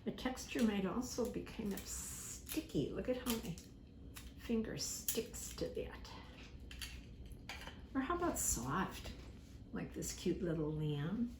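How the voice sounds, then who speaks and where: A woman giving a simple lesson to young children, speaking deliberately, repeating key words and acting out examples. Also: medium pitch. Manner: animated, acts out examples with props. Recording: echoey room with faint background noise.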